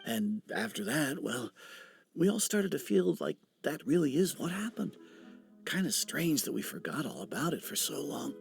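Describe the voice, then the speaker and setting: A man playing an easygoing, chatty villager in a video game, talking in a casual, musing way over soft music. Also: mid-range voice, sing-song, moderate pace, gravelly. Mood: casual storytelling. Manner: casual, musing, hesitant storytelling. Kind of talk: video game dialogue acting.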